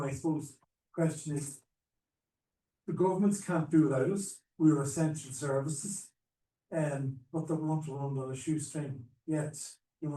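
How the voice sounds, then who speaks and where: A man's voice: medium pitch, mellow. A man from Ireland asking a question from the audience at a panel, earnest and a bit rambling. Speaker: audience member. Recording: roomy hall, mic at a distance.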